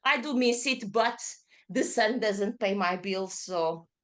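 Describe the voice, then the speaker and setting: A woman's voice: mid-range voice, slow pace, fairly bright tone. A woman in her thirties with a Portuguese accent, a yoga teacher chatting in an interview, speaking with frequent fillers and self-corrections. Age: 30s. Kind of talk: casual interview chat.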